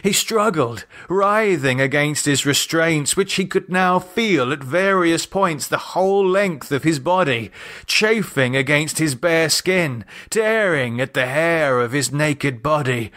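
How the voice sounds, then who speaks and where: A man reading a horror novel as a dramatic audiobook, measured and expressive in his delivery. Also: fairly high pitch. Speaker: author and narrator reading own novel.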